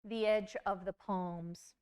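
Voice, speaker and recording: A woman giving a scripted storytelling performance, with deliberate, measured phrasing and dramatic pauses. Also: medium pitch, fairly even intonation, darkish tone, clear, resonant tone. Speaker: monologue performer.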